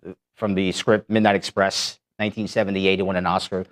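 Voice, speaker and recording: A man's voice: low-pitched, little pitch movement, a little fast. A man from Manhattan, a documentary filmmaker, talking as a guest in a studio and telling stories in a chatty way. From Manhattan, New York. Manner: chatty, storytelling, laughs easily. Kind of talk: casual studio interview. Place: radio-style studio.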